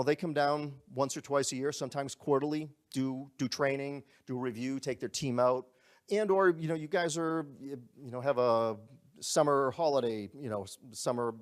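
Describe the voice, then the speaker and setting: Upbeat man giving a sales pitch. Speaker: company presenter. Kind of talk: live sales presentation. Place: presenting in a room to a group.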